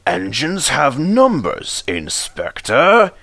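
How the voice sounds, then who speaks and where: A man's voice: mid-range voice, huge pitch swings, slow, a bit bright, no echo, voice pushed up, full character voice. A man voicing a stern, exasperated railway controller with a commanding, emphatic manner in a storybook reading.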